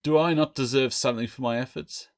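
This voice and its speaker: A man's voice: medium pitch, steady tone, fast talker, pitched above the performer's own voice, lightly acted. A man voicing a proud, shrewd pirate captain in an audiobook, speaking formally and with authority. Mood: stern.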